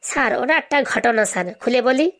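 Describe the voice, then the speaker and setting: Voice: medium pitch, fast talker, fairly bright tone, close to an everyday voice. A performer voicing a brazen, glib schoolboy in a comedy cartoon. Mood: pleading, boastful. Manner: glib, answers back with absurd confidence. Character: cheeky, brazen schoolboy with buck teeth.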